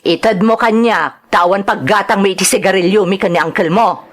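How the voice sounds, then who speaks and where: A woman's voice: mid-range voice, fairly quick, natural voice. A woman playing a greedy, harsh middle-aged mother in an Ilocano drama, scornful and nagging, with a Filipino Ilocano accent. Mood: demanding. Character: harsh, greedy, vice-loving mother scolding her daughter. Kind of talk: Ilocano radio-style drama acting.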